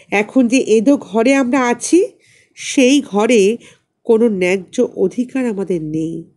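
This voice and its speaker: A woman narrating a literary story in an expressive, dramatic reading style. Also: on the high side. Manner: dramatic, acts out dialogue. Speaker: audiobook narrator.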